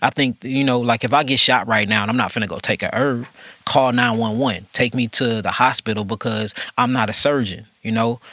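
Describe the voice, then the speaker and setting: A man holds forth on health, emphatic and preachy, driving his points home with rhetorical questions. His voice is bright and forward. It is recorded dry and close. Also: medium pitch, fairly even intonation, fairly quick, no room sound. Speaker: holistic health host. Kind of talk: conversational discussion.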